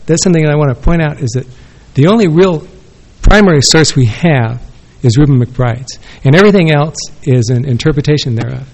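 Male conference respondent at podium mic, conversational and rambling, mid pitch. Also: darkish tone. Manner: rambling, self-correcting, conversational. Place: conference room with audience. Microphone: podium microphone.

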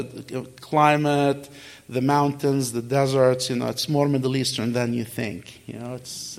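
Gravelly middle-aged man telling his story. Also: medium pitch. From Kuwait. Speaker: guest speaker answering audience questions. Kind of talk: conversational personal storytelling.

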